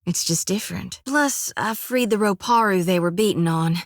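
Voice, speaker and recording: An actress voicing a folksy, earnest young priestess of a death god in a video game, with a drawl, rambling and plainspoken. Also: female voice, medium pitch, moderate pace, no room sound, studio quality, lightly acted.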